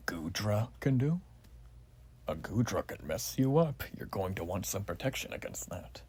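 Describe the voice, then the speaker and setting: A man playing an earnest, bitterly patriotic revolutionary soldier in a soft-spoken ASMR roleplay, polite and formal with quaint old-fashioned phrasing, his breathy voice often dropping to a whisper. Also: fairly low pitch, big pitch swings, normal speed. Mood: friendly, chatty.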